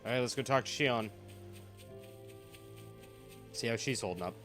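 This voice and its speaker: A man's voice: mid-range voice, normal speed, fairly bright tone. A man giving casual let's play commentary as a gaming streamer, with a jokey, sarcastic manner. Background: game music.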